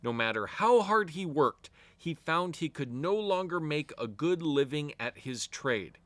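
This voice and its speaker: A man reading a fairy tale aloud to children as an expressive storyteller, with deliberate storybook phrasing. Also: a little high, no echo.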